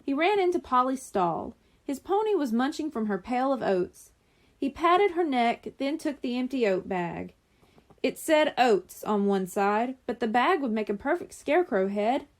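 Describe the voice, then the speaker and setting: A woman reading a children's book aloud in expressive scripted narration. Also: high-pitched, a little slow. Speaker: audiobook reader.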